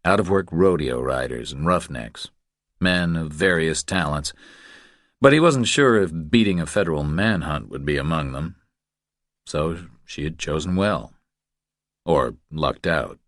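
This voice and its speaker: Very deep male thriller narrator. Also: expressive, measured pace, fairly bright tone, no echo, studio quality. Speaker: audiobook narrator.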